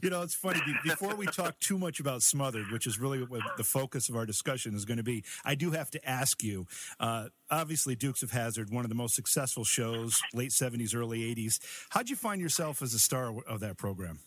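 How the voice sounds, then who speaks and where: A man with a medium-pitched voice hosts a talk radio show. He interviews in a conversational style. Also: animated, a little fast, a bit bright, slight background noise.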